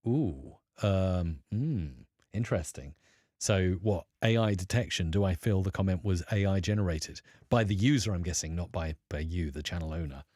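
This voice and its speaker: A male live streamer talks in a low, warm voice, chatting loosely with his viewers. He rambles with lots of fillers but stays upbeat and encouraging. The sound is dry and close. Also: moderate pace, dry recording. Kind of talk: casual live stream talk answering chat.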